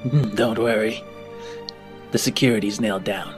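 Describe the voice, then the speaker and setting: A man voicing a gruff, terse dwarf who reassures in an unbothered way. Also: on the low side, fairly even intonation, normal speed, soft background music, natural voice. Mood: casual reassurance.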